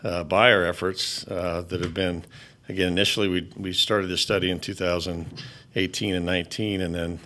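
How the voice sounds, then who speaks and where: A man's voice: low voice, flat delivery, normal speed, a bit bright. A man finishing formal remarks at a ceremony and introducing the student speakers, with many hesitations.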